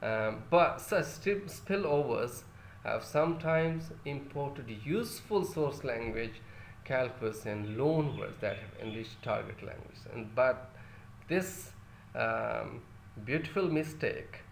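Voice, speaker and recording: Urdu-speaking guy teaching a class, gravelly, lots of uhs, echoey room. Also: medium pitch, lively intonation, slow pace, a little hiss or hum. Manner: hesitant, many fillers and restarts; asks the listeners questions. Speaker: lecturer. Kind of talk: classroom lecture.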